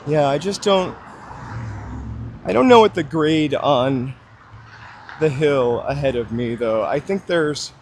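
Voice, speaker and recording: A man's voice: fairly high pitch, lively intonation, slow pace, pauses often, darkish tone, a little hiss or hum. A man chatting casually to live viewers while walking outdoors on a city street, rambling with lots of fillers, his voice a little froggy with a slight dry cough. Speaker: livestream walking host.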